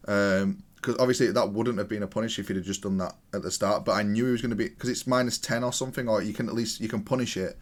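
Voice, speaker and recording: A man giving casual, matter-of-fact commentary as he analyses a video game match, explaining each move. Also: on the low side. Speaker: gaming content creator.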